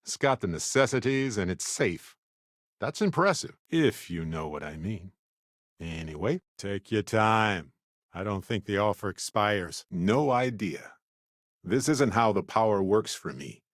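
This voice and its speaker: Deep-voiced, wry space explorer. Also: male voice, lively intonation, a little slow, dry recording, studio quality. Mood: reflective, earnest. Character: warm, witty, adventurous male space explorer companion. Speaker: video game voice actor. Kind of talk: acted video game companion dialogue.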